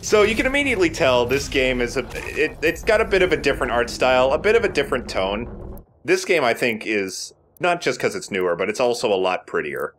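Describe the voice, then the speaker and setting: A man's voice: mid-range voice, big pitch swings, a little fast, fairly bright tone, a little hiss or hum. A man hosting a Let's Play, giving casual, conversational explanations of a video game. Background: video game sounds.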